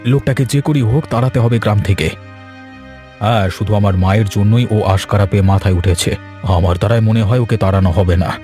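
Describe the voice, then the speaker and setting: Man as a grumbling, exasperated cartoon village gentleman. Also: fairly low pitch, brisk, soft background music, lightly acted. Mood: worried, exasperated. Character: worried, exasperated male village gentleman, master of the house. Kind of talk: Bengali cartoon dialogue acting.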